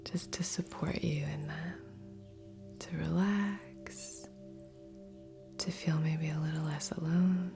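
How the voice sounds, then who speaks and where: Soft whispery asmr lady, super slow n soothing, low-ish and even, music behind her. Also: vocal fry. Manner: gentle, soothing, unhurried. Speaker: ASMR Reiki host and meditation guide. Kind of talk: ASMR guided meditation. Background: background music.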